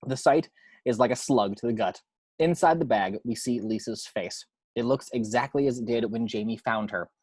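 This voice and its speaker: A man with a husky voice reading scripted screenplay action descriptions as narrator. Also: medium pitch, moderate pace, darkish tone, no echo.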